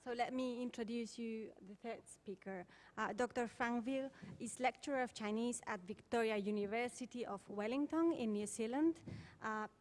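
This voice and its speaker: A woman chairing a symposium panel, thanking one speaker and introducing the next in an orderly way. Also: on the high side.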